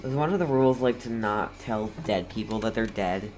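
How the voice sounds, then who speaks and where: A woman's voice: a bass voice, moderate pace, darkish tone, faint music behind. A woman giving casual gameplay commentary with wry, playful asides as she reacts to the game. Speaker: let's player reacting to a game.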